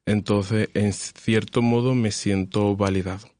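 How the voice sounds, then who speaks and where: A man in his twenties speaking in a measured, thoughtful way with hesitant fillers on a podcast panel. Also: lowish voice, fairly even intonation, mellow, no echo. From Spain. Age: 20s. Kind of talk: podcast discussion.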